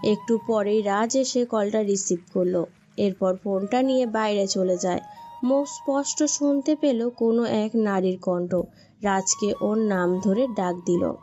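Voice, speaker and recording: A woman's voice: mid-range voice, a little slow, dark tone. A woman reading scripted narration for a romantic story as its storyteller. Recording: dull lo-fi sound, music bed.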